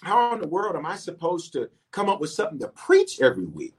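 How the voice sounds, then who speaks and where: Animated preaching man, sudden loud peaks. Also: fairly high pitch, a little fast. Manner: talks directly to online viewers, calling for waves and shout-outs; repeats phrases for emphasis. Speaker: pastor and bishop. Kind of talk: livestreamed sermon and prayer.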